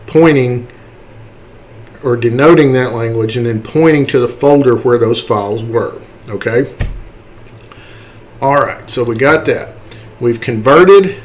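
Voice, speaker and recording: A man with a mid-pitched voice walks through software as a tutorial instructor. His delivery is casual and explanatory, going step by step. He often pauses to check in with the learner.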